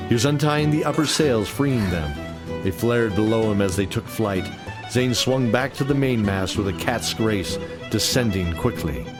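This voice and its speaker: Low-voiced man narrating fantasy over music. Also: lively intonation, moderate pace. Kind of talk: scripted fantasy story narration.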